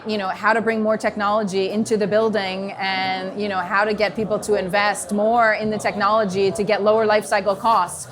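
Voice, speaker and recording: Upbeat American woman at an event. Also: mid-range voice. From New York. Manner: fluent, enthusiastic, many "you know" fillers. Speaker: strategy consultant, guest. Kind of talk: conversational interview. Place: busy event venue in a container.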